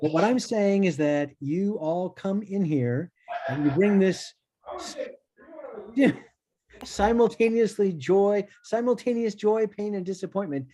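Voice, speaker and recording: An actor plays an exacting studio boss in a stage play read-through. He is blunt but encouraging, a man whose pitch rises and falls dramatically as he speaks. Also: expressive, a little slow, warm tone. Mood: frank appraisal. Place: online video call.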